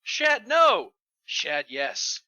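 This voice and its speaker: High, very bright, wisecracking man. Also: wildly swooping pitch, drawn-out words, dry recording, highs cut off. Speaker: reader on a bad fanfiction show. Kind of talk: joking group read-along chat.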